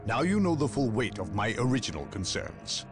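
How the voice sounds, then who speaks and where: An actor playing a suspicious male inquisitor in a video game cutscene, speaking in a measured, formal way. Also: medium pitch, very animated, music in the background, natural voice. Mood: grave.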